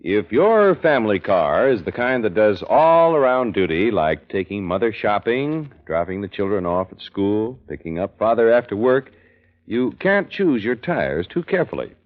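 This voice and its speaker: A man's voice: fairly low pitch, big pitch swings, normal speed. An American man delivering scripted announcements in a 1940s radio style. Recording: dull vintage radio recording. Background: music.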